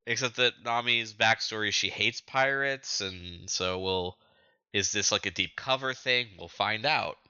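A man co-hosting a podcast, recapping a story in casual, informal chat with an animated way of telling it. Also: on the low side, measured pace, clear, resonant tone, no room sound, muffled recording. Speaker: podcast co-host.

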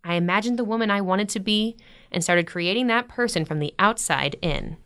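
Woman acting a gentle, earnest middle-aged mother. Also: medium pitch, a little fast, clear, resonant tone, lightly acted. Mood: earnest, reassuring. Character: warm, gently teasing middle-aged mother of teenage daughters. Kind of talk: audio drama acting, naturalistic dialogue.

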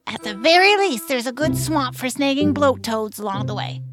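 A woman's voice: high voice, expressive, moderate pace, music in the background. An actress playing a brash, loyal, teasing young female adventurer in a fantasy audio drama. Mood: curious. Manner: brash, plucky, slangy.

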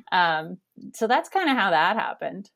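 A Canadian woman in her thirties with a loud, nasal voice, chatting as an interview guest and rambling with frequent laughs. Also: mid-range voice, sing-song, slow pace. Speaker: guest, theater education director. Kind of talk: casual interview conversation.

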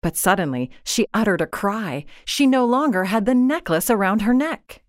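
A woman narrating a literary short story in a measured, expressive, scripted style. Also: medium pitch, no room sound, clean studio sound.

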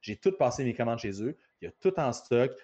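A man's voice: medium pitch, animated, a little fast, warm tone. A man with a Quebec French accent hosting a casual business podcast, telling rambling stories. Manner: talkative, rambling anecdotes. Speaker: podcast host.

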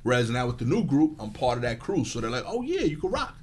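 A man who does stand-up comedy talks casually, rambling along with plenty of filler "likes." His pitch is average for a man. He sounds animated as he goes. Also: fast talker, slightly rough. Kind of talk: casual chat.

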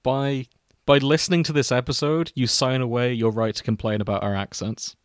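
A male podcast host with a mid-pitched voice moves between casual chat and reading fiction aloud for comedy. The sound is dry and close, with very little room on it. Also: fairly even intonation, a little fast, clean tone, dry recording. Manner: breaks into chuckles while reading. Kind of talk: casual banter and comic read-aloud narration.

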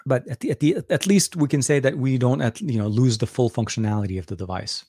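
A man with a Lebanese accent hosts a relaxed live Q&A, answering viewers' questions as they come in. He talks in a loose, rambling way and often restarts his sentences. His voice sits at an ordinary pitch and sounds dry and close to the mic. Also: quick pace, breathy edge, no room sound. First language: Arabic. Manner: rambling, many fillers and restarts. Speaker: tech channel host answering live chat. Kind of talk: casual live Q&A.

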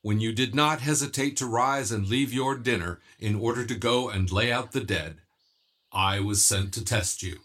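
A man playing a calm, authoritative archangel in a religious audio drama, solemn and measured in delivery. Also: fairly low pitch. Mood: grave revelation. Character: calm, authoritative male archangel revealing himself.

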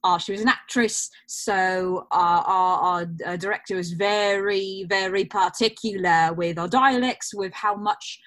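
A woman, an actor being interviewed, talking in a casual, animated chat. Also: medium pitch, unhurried, few pauses.

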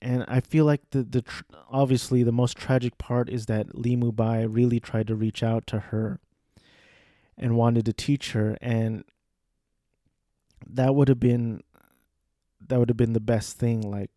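A man's voice: mid-range voice, level tone, unhurried, pauses often, mellow, dry recording, studio quality. A man talking casually on his own, hesitant, with many fillers and restarts. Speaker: solo commentator. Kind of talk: casual rambling chat.

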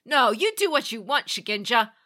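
A gruff sea captain barks orders and sneers at anyone who slows his crew, as a woman puts him on for a storybook reading. His voice rides high and loud above the narration, clipped and scornful. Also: big pitch swings. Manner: barking, disdainful orders. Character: gruff male ship captain, scornful and dutiful.

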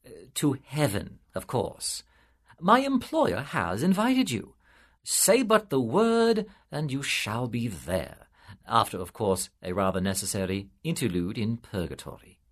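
A man doing a weary, gentle angel. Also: mid-range voice. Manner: calm, measured, softly solemn. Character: weary, patient male angel, solemn and gentle.

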